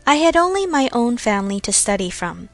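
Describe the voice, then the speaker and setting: Woman reading as a high-voiced, self-assured genteel young lady. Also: sing-song, brisk, no room sound, faint music behind. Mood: chatty, fond. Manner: genteel, chatty, self-satisfied.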